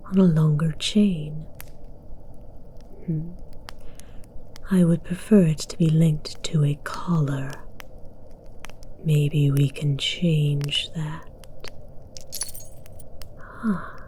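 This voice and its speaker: A woman voicing an imperious, amused dragon queen in a breathy, teasing whisper for an ASMR roleplay, speaking in a regal, formal way. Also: low-pitched, warm tone, slight background noise, rough recording. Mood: intrigued, smug.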